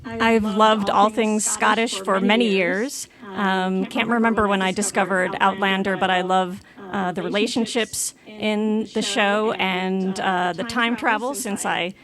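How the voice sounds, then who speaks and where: A woman from New York State, a guest on a live panel, speaking in a measured, thoughtful way. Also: mid-range voice, fairly even intonation, crowd noise. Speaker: audience guest on a panel, teacher. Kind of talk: casual chat on stage.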